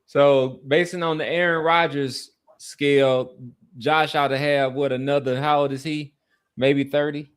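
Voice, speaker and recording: A man's voice: fairly high pitch, steady tone, a little slow, smooth. A man co-hosting a sports podcast, musing conversationally and repeating phrases for emphasis. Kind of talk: casual sports commentary.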